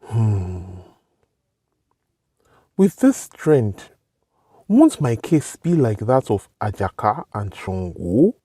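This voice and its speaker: A man voicing a resentful, scheming prince turned king in an animated folktale, with a Nigerian accent and a brooding, theatrical delivery. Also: on the low side, husky, dry recording, studio quality, exaggerated voice. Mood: brooding, bitter. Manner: brooding, theatrical soliloquy with drawn-out words. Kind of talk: animated folktale character acting.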